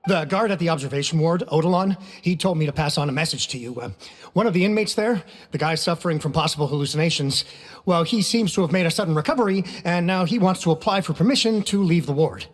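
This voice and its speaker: A man's voice: on the high side, fast, natural voice. An actor playing a deferential, anxious working man in a video game, hesitant, polite and apologetic. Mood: urgent, apologetic. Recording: long hall-like reverb.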